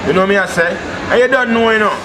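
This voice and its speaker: A man's voice: high voice, quick pace. A man with a Jamaican accent giving an impromptu address to camera, circling back on repeated catchphrases in a chanting cadence. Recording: noisy outdoor street with vehicles.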